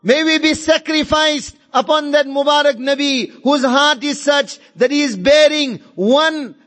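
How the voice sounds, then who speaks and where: A man's voice: extremely high pitch, a little slow, a bit bright. A man delivering an impassioned Islamic sermon, fervent and emphatic, repeating phrases for effect and mixing Arabic and Urdu phrases into English. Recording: long reverberant hall echo.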